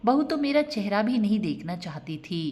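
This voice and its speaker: A woman's voice: mid-range voice, big pitch swings, normal speed, a little mellow, close to an everyday voice. A woman voicing a resigned, devout, abandoned old mother in a storybook tale, plaintive and wary. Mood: sorrowful, resigned. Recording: long reverb on the voice.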